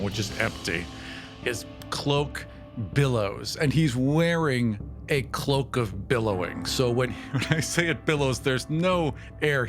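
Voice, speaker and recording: A man playing a tabletop game, casually chatting and narrating what his character does. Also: medium pitch. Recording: music playing under the voice.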